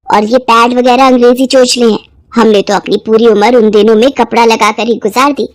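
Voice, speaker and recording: A woman acts out an elderly mother-in-law from a moral-story drama, bossy and set in old ways. The voice is high and close, with very little room around it. The delivery scolds and commands. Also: fairly even intonation, brisk, dry recording, lightly acted. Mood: disapproving.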